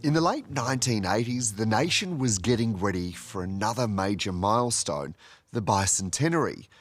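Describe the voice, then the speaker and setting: A man's voice: fairly low pitch, expressive, moderate pace, faint music behind. A man with an Australian accent reading scripted narration as the presenter of a documentary.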